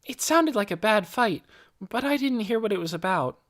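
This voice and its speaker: A man's voice: high-pitched, expressive, normal speed, fairly bright tone, pitched above the performer's own voice. A man voicing an eager, earnest young girl in an audiobook, breathless and keen to please. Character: eager, earnest young girl confessing what she saw. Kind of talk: audiobook character voice.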